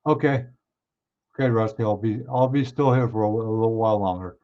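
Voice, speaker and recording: Male livestream host, low voice gone a bit hoarse, chatty with his viewers, dull lo-fi stream audio. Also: a little fast. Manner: chatty, reads and answers viewer comments. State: hoarse, clearing his throat. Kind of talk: casual chat with live viewers.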